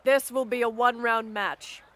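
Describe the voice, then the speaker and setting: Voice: medium pitch, steady tone, normal speed, sharp tone, natural voice. A performer playing a commanding academy girl formally announcing duel rules in an anime-style audio drama.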